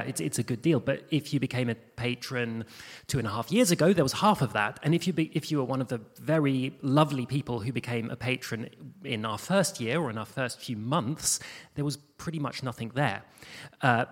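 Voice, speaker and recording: A man's voice: mid-range voice, a bit bright. A man explaining things off the cuff as a panel guest, hesitant and often restarting his phrases. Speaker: panel guest, podcast creator. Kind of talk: unscripted explanatory panel talk. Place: live panel in a hall. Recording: echoey hall with long reverb.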